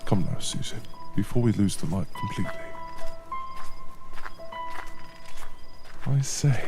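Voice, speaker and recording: An actor playing a determined, adventurous scholar in a period horror audio drama, speaking in a formal, old-fashioned, earnest way. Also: male voice, on the low side, expressive, fairly quick, over music. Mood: eager. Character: determined, adventurous male scholar exploring ancient ruins.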